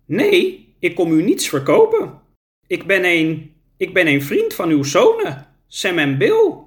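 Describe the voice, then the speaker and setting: A man's voice: high voice, wildly swooping pitch, slow pace, pitched above the performer's own voice, heavily acted. A man reading a storybook and voicing a small, nimble, eager young male climber.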